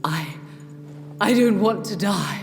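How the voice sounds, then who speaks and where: Slow, theatrical mad vampire actress. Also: female voice, mid-range voice, lively intonation, vocal fry, slight background noise. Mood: pleading, coquettish. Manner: grandly theatrical, declamatory. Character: mad theatrical actress turned vampire, wounded, coquettish, morbid. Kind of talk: video game dramatic acting. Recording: loud orchestral music behind.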